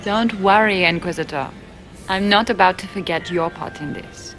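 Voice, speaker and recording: An actress playing a shrewd, composed elven rebel spymaster in a fantasy game, speaking in a measured, assured way. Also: female voice, on the low side, over music, natural voice. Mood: earnest, assured. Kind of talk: dramatic fantasy game acting.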